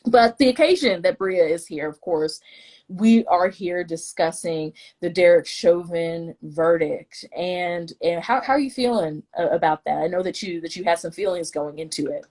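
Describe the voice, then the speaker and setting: A woman's voice: fairly low pitch, normal speed, darkish tone. A woman from the Midwest hosting a live stream in a chatty, self-deprecating way, often tripping over her words. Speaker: host, vice president of the collective.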